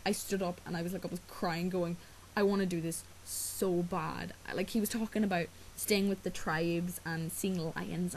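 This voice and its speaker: A woman's voice: on the low side, slight background noise, rough recording. A teenage girl with an Irish accent, whose first language is Irish, chatting casually to camera. She rambles with lots of ums and likes and has a sore throat from a cough. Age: teens. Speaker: vlogger. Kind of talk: casual chat.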